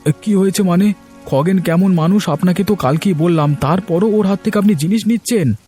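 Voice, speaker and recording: A man's voice: high-pitched, fairly quick, dark tone, soft background music, close to an everyday voice. A man voicing an honest, earnest young village man in a cartoon, pleading and direct. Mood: accusing. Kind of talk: cartoon voice acting.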